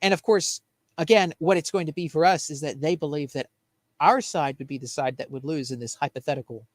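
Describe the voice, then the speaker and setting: A man's voice: on the high side, brisk, no room sound. A man co-hosting a show with earnest, emphatic political commentary, often repeating phrases for emphasis. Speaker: show co-host. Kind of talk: political commentary in casual chat.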